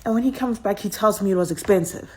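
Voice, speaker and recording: A woman's voice: medium pitch, quick pace. A woman from South Africa telling a story to camera in a casual vlog, wry and incredulous. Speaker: vlogger.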